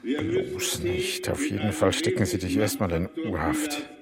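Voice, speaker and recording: An actor in a radio play playing a blunt, protective former agent who gives orders and reassurance. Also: male voice, on the high side, huge pitch swings, unhurried, gravelly, a loud crowd, full character voice. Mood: steady, reassuring. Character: gruff, protective East German ex-agent, a loyal friend.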